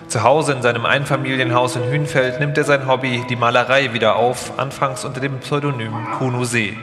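A man reads scripted documentary narration at a medium pitch. His voice rings through a very reverberant hall, with loud music playing behind him. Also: fairly even intonation, brisk. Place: large hall, live performance.

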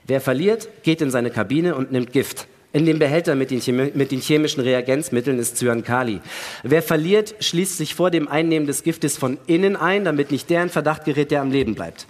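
A man's voice: on the high side, animated. Man acting a suspicious astronaut in an echoey hall. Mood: grim, calculating. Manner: argumentative, methodical, deliberate reasoning. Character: male astronaut, suspicious, coldly calculating, accusing his crewmate. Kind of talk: live dramatic reading of a sci-fi radio play. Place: large hall, stage reading. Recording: echoey hall, long reverb.

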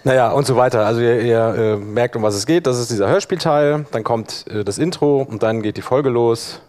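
Guy running a software workshop, loose and chatty, medium pitched voice, keeps asking the room questions. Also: a little fast. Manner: loose, conversational, addresses listeners with questions. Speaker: workshop presenter. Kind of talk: informal live software tutorial.

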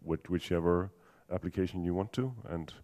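A deep-voiced Danish man presents at a software conference in accented English. His tone is dark and relaxed as he works through technical points. He pauses often and restarts sentences, with a dry, self-mocking humor. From Denmark. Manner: hesitant, many ums and restarts, dry humor. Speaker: conference speaker.

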